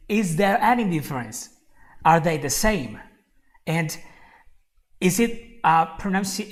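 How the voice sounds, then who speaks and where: A man's voice: high voice, vocal fry, a bit bright. A young man with an Italian accent, a native Italian speaker, teaching a live online English lesson in an encouraging way, repeating phrases and speaking straight to his viewers.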